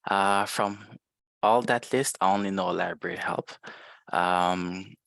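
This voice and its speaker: A man whose first language is French, giving a webinar presentation over a video call in a halting way with many fillers. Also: low-pitched, steady tone, gravelly, a bit bright, no echo. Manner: hesitant, many filler sounds. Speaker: guest presenter, librarian.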